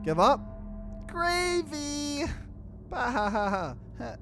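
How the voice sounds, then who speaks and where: A man voicing a jokey, excitable young male friend in a visual novel, playful and exclaiming. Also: very high voice, extremely slow, soft background music, voice pushed up, full character voice.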